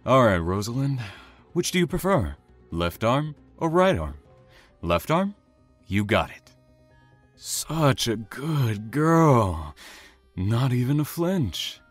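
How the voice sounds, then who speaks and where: A man's voice: mid-range voice, sing-song, takes it slowly, pauses often, faint music behind. A man playing a sinister serial killer in an audio drama, with a patronizing, falsely friendly manner. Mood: falsely soothing menace. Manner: soothing, patronizing menace, casual with friends.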